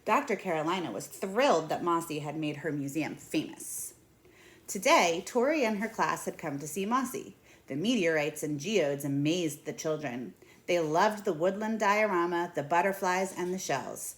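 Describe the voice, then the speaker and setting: A woman in her thirties reading a picture book aloud in an expressive, deliberate way. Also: fairly low pitch. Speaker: read-aloud storyteller. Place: small indoor room at home.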